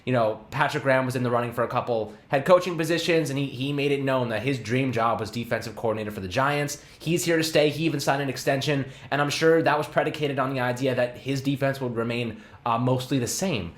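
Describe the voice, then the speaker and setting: Excitable young guy in an echoey room. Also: mid-range voice, fast, few pauses. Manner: excitable, laughs often, many fillers and restarts. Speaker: podcast host. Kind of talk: casual sports podcast chat.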